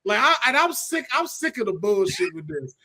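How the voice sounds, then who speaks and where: An American man hosting a casual podcast, talking in an animated, slangy and profane way. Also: high voice, normal speed. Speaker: podcast host. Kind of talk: casual podcast chat about movies and games.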